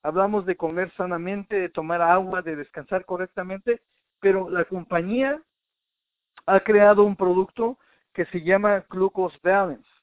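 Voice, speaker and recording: A thin, phone-like recording carries a man's notably high voice, with very little room sound around it. He presents health information informally, like a teacher going through points, and speaks directly to the listener. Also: fairly even intonation, unhurried, no room sound. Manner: didactic, addressing the listener directly. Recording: narrow, tinny phone-like sound.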